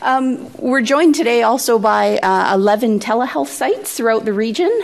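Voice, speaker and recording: A woman's voice: on the high side, moderate pace, creaky. A woman opening an event as emcee, speaking casually and hesitantly with many fillers in a conference hall. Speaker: regional director, emcee. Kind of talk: event welcome.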